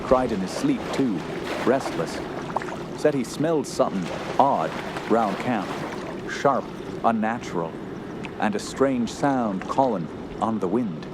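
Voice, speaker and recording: An actor playing an earnest, shaken young woodsman who tells his story in a radio horror drama, halting and solemn. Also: lowish voice. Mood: uneasy. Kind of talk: radio horror narration and acting. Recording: loud steady outdoor background noise.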